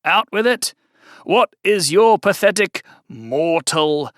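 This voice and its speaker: A man voicing a theatrical, sardonic eldritch demon in a drawn-out, booming delivery. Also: lively intonation, no echo, studio quality. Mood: impatient.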